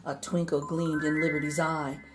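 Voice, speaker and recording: A woman reading a rhyming children's picture book aloud in an expressive, measured storytelling manner. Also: low voice, music in the background. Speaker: storybook reader.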